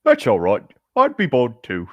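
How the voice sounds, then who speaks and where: A man's voice: medium pitch, huge pitch swings, normal speed, fairly bright tone, dry recording, muffled recording. A man voicing a reassuring male guard who leads the way in an amateur fan fiction reading. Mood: easygoing. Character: male desert guard, reassuring travel leader on horseback.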